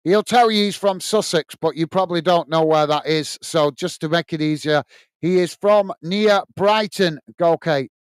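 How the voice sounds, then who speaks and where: A man's voice: on the high side, normal speed, smooth, no echo. A man with a strong Lancashire accent hosting a live football watch-along, calling the play and reading out viewer comments in a chatty way with lots of "uh"s. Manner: chatty, reads out chat messages with filler "uh".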